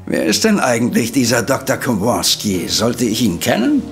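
A man playing an irritable, lazy, easily offended police inspector in an audio drama, gruff and blustering. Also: mid-range voice, wildly swooping pitch, moderate pace, soft background music. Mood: irritated. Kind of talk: German audio drama acting.

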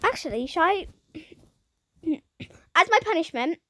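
A woman narrates her video game in a high, croaky voice that leaps all over the place. She talks slowly and dramatically, swinging between near-shouts and hushed asides. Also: lively intonation. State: croaky, strained voice. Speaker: gaming YouTuber. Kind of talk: playful game commentary.

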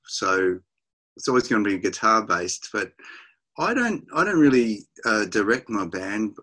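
A middle-aged Australian man, a musician, chatting over a video call from his home music room with halting, restarting speech. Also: on the low side, sing-song, bright, forceful tone, rough recording. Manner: halting, many restarts and fillers, laughs often. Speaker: musician guest.

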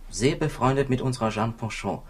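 An actor playing a weary, gossipy police commissioner in a 1960s radio crime drama, husky and confiding. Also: male voice, medium pitch, fairly quick, natural voice. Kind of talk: 1960s German-language radio drama acting.

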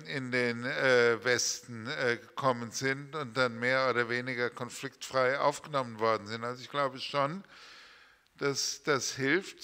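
An older man speaking thoughtfully as a panel guest, pausing often with fillers. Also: medium pitch, sharp tone. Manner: thoughtful, hesitant, many fillers. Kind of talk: panel discussion. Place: stage of a literary venue hall. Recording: reverberant hall.

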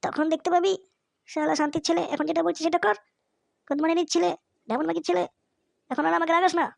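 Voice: very high voice, flat delivery, fast talker, vocal fry, no room sound, clean studio sound, exaggerated voice. A performer voicing a brash, foul-mouthed cartoon schoolboy with a cocky, scolding, slangy way of talking. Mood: bossy, threatening. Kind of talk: comedy cartoon dialogue.

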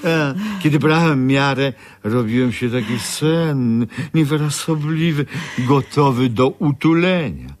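A man's voice: mid-range voice, measured pace, hardly any pauses, natural voice. An actor playing a proud, sentimental bra-maker who savours his words with dreamy nostalgia in a radio drama. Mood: wistful.

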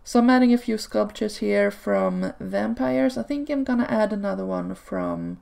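A woman whose first language is Swedish, chatting casually over gameplay footage in a relaxed way, with frequent ums and small laughs. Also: medium pitch, normal speed, gravelly. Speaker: video creator. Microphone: home desk microphone.

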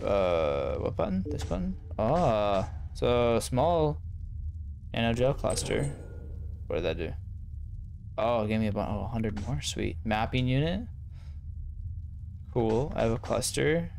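Young adult man, fry-edged voice, casual let's-play commentary, rambling slang, noticeable background noise. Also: mid-range voice, sing-song, a little slow, pauses often, a little mellow. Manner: rambling, slangy, self-deprecating. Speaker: gaming video host.

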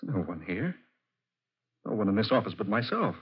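Anxious, lively-voiced office clerk. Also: male voice, fairly low pitch, moderate pace, slight background noise. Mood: startled, bewildered. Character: anxious, bewildered male office clerk, growing bolder. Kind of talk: 1940s horror radio acting. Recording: dull vintage 1940s radio recording.

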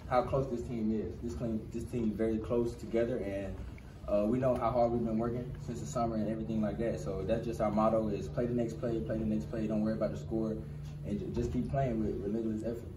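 Fast-talking young man at press mics. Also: lowish voice. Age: 20s. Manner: quick, repeats phrases, relaxed slang. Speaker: college football player. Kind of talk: post-game press conference answers. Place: crowded press room indoors. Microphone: cluster of handheld press mics. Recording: roomy distant press room with noise.

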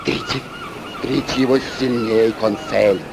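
An actor in a radio play voicing a bold, hot-tempered harpooner with a blunt, forceful manner. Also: male voice, medium pitch, huge pitch swings, a little slow, background noise. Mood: brisk.

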